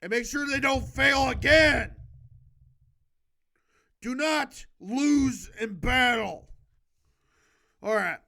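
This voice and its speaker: A man's voice: extremely high pitch, extremely slow, creaky, pauses often, bright, forceful tone. A man reading a book aloud for a homemade video, stumbling and correcting himself, adding emphatic, casual exhortations of his own. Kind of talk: halting read-aloud with improvised casual commentary.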